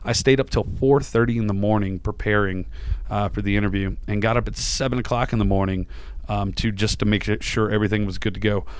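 A tired male podcast host with a lowish, smooth voice, recorded dry and close. He rambles on with plenty of fillers and restarts. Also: steady tone, quick pace, no echo. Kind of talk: casual podcast chat and interview.

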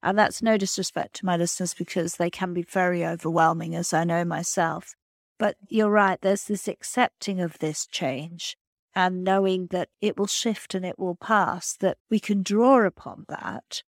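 A woman's voice: on the low side, fairly even intonation, no room sound, clean studio sound. Earnest woman podcast host. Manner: affirming, measured, earnest. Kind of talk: interview conversation.

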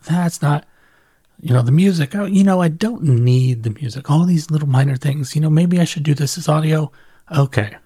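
A man's voice: medium pitch, mellow, slightly rough, no echo, clean studio sound. A middle-aged man hosting a solo podcast, talking casually and reflectively as he thinks aloud and corrects himself along the way. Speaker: podcast host.

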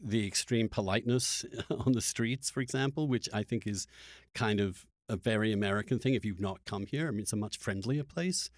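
A man hosting a conversational interview, halting and repeating words as he works through an argument. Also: lowish voice, no echo, studio quality. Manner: halting, repeats words while arguing a point. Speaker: podcast host.

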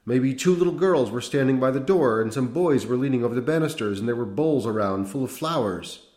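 A man's voice: mid-range voice, expressive, brisk, darkish tone, voice pushed up, lightly acted. A man voicing an agitated, unhinged middle-aged priest who rambles feverishly and insistently in an audiobook. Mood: rambling. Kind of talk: audiobook character voice. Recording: roomy echo.

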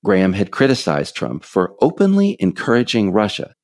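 A man reading scripted political narration as a podcast author, deliberate, with pointed pauses on key phrases. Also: on the low side, wildly swooping pitch, a little mellow.

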